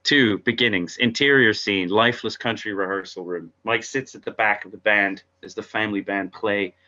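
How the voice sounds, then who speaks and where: Low, forceful man, dull lo-fi audio. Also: moderate pace. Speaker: narrator reading stage directions. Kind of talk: scripted narration at an informal table read.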